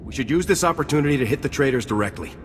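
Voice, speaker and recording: An actor playing an insolent, ruthless commando lieutenant in a video game, speaking in a curt, hard, contemptuous manner. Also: male voice, mid-range voice, expressive, very fast, close to an everyday voice. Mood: hard, impatient. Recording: long reverb with faint noise.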